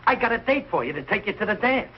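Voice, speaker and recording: A middle-aged man playing a blustering, soft-hearted working-class American father in a 1940s television sitcom, with blunt, slangy, ungrammatical speech. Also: high voice, big pitch swings, fast talker. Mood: excited, proud. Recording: noisy, dull old television kinescope soundtrack.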